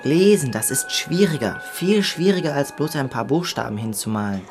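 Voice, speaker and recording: A performer playing a cautious thirteen-year-old medieval boy, an earnest know-it-all big brother with a husky voice, in a German radio drama. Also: deep voice, animated, normal speed, music in the background. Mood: discouraging.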